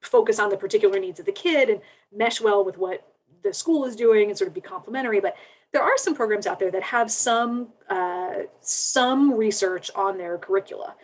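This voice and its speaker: A woman academic explaining things conversationally on a panel, in a measured, careful manner. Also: mid-range voice, animated, low-quality recording.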